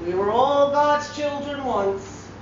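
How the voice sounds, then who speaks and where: Woman acting proud old gentleman, very echoey. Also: high voice, slow pace, voice pushed up. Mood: musing. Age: 80s. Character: proud, chatty 82-year-old widower, gentlemanly. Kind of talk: dramatic short-story reading. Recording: roomy echo, distant mic, dull hissy sound.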